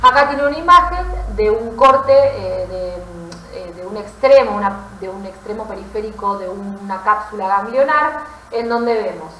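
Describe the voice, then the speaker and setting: A woman's voice: mid-range voice, moderate pace, few pauses, sharp tone, slight background noise. A woman with an Argentine accent giving an explanatory medical lecture, didactic, often checking understanding with short tag questions. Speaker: pathology lecturer, physician. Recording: roomy, echoey voice recording.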